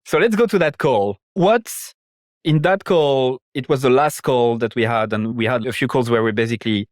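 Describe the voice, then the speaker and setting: A man's voice: medium pitch, moderate pace, no room sound, studio quality. A man hosting a casual, probing interview in a blunt, informal way, swearing freely. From Ireland or living there. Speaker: interviewer, host and marketing consultant.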